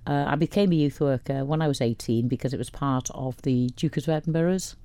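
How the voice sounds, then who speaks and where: A woman's voice: a bass voice, fairly quick, dark tone, no room sound. A woman from Wales in her later years, a radio guest chatting casually about her life, rambling with lots of restarts. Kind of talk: casual interview chat.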